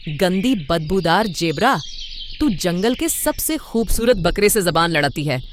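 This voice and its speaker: A woman's voice: lowish voice, big pitch swings, measured pace, slight background noise. A woman voicing a vain, bullying male goat in a cartoon, haughty and scolding. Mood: angry, boastful.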